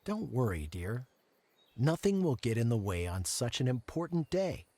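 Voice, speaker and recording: A man's voice: medium pitch, big pitch swings, moderate pace, warm tone. An actor playing a protective middle-aged father of the bride in a fairy-tale game, firm and dramatic. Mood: reassuring. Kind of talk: fantasy game voice acting. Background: music.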